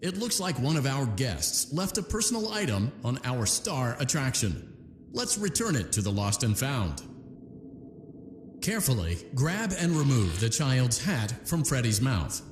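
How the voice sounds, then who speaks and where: Echoing in a big reverberant space over prominent music, a chipper male tutorial instructor for a video game. His delivery is polished and animated, giving step-by-step directions like an announcer. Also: medium pitch, unhurried. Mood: instructive, upbeat. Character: chipper male instructor guiding a robot repair task. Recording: echoey hall reverb, loud music behind.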